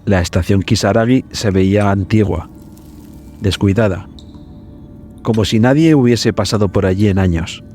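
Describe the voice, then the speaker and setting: A man hosting a podcast, narrating a scripted story in a measured, suspenseful manner. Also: on the low side, darkish tone, faint music behind.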